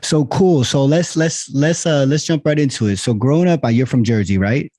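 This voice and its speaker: A man's voice: medium pitch, normal speed, dark tone, dry recording. A middle-aged man hosting a casual podcast interview, rambling and restarting his words often. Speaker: podcast host.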